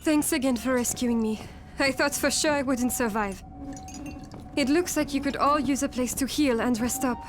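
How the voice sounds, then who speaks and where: A woman's voice: high voice, moderate pace, lightly acted. An actress playing a gracious but wary woman who survived a plague, polite and sober, in a video game. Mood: grateful, practical. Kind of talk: video game cutscene acting.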